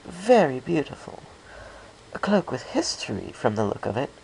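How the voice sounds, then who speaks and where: A man's voice: medium pitch, big pitch swings, normal speed, creaky, darkish tone, slight background noise, pitched above the performer's own voice, poor audio. A man voicing a refined, kindly, concerned lady cartoon pony with a genteel, dramatic manner. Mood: curious.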